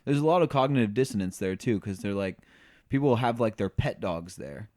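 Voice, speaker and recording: A man's voice: on the low side, animated, a little fast, darkish tone. A young man co-hosting a podcast in casual chat, laid-back, often laughing and trailing off mid-sentence. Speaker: podcast co-host.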